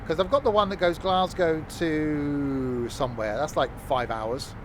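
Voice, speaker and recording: A man live-streaming a train simulator, chatting loosely with his viewers and filling pauses with lots of ums and uhs. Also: a little high, noisy background. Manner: relaxed, rambling, many fillers, chats with viewers. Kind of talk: casual live-stream chat.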